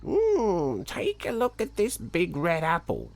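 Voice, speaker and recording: A squeaky old woman peddler from a storybook, played by a man, high-pitched and slow. The manner is sly and wheedling, with a lively, coaxing lilt. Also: creaky, faint music behind, exaggerated voice.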